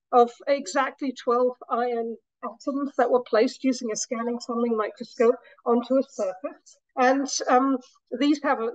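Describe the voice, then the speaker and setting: A woman professor lectures on her research, her voice a touch scratchy and pitched fairly high. She explains patiently with many small restarts. The sound is dry and slightly dull. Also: a little mellow, no echo. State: slightly scratchy voice after a week of talking. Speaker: materials science professor giving a talk. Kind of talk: academic lecture. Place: lecture room.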